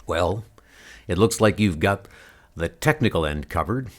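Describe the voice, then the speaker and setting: A man voicing a calm, concerned, steady superhero in a comic book audio drama. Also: sits low, measured pace, voice pushed down. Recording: music under the voice. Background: music.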